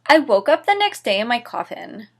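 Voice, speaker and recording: A woman's voice: fairly high pitch, very animated, normal speed. A woman reading fan fiction dramatically as host, with a sarcastic, theatrical manner and mocking asides. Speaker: host and reader of a series.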